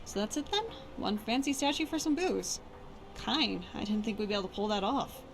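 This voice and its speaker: A woman's voice: medium pitch, animated, a little fast, lightly acted. A woman playing a tough, sardonic but caring adventuring companion in a fantasy video game. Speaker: voiced follower in a game mod.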